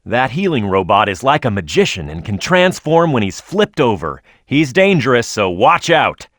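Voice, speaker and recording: Brash, lively cartoon echidna brawler. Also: male voice, mid-range voice, normal speed, dry recording, clean studio sound. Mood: instructive, firm. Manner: brash, punchy, emphatic delivery. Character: tough, hot-headed male cartoon echidna brawler, confident and gruff. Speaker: video game character. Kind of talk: video game voice acting, tutorial hints and battle shouts.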